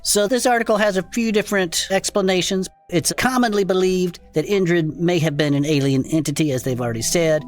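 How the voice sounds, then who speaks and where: A performer playing an irreverent, excitable non-human cryptid podcaster in a comedic audio drama, talking in folksy slang. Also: mid-range voice, fairly quick, hardly any pauses, soft background music, lightly acted. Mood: storytelling. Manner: folksy slangy casual speech, chuckling. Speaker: co-host of a cryptid discussion show. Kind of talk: improvised comedic audio fiction banter.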